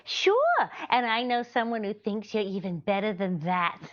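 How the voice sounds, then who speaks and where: A woman's voice: medium pitch, sing-song, moderate pace, dull audio, natural voice. A woman playing a scatterbrained, naive and sincere housewife in 1940s-style radio comedy. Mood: sweetly earnest. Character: scatterbrained, earnest, cheerfully illogical 1940s housewife.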